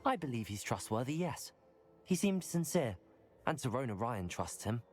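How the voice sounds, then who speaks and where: Courteous, earnest student hero for a fantasy game male actor, lively intonation, medium pitch, faint music. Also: normal speed, close to an everyday voice. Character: earnest, polite young student wizard. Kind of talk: video game acting.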